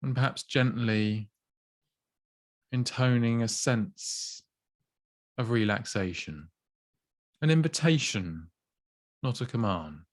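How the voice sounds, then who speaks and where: A man gently guiding a body scan meditation, slow and soothing with long pauses. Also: mid-range voice, no echo, studio quality. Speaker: mindfulness teacher.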